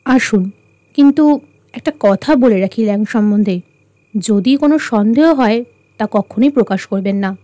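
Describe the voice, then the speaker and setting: An actress playing a firm, watchful young detective heroine in a radio drama, speaking expressively and with authority. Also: female voice, fairly high pitch, normal speed, long pauses, mellow, no echo, natural voice. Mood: resolute.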